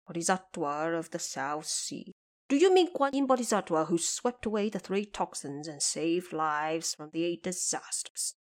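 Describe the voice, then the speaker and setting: A woman's voice: lowish voice, animated, moderate pace, close to an everyday voice. A woman voicing a male pig monster, a reckless brute who turns humble and confessional, in an audio drama story. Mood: awed, polite.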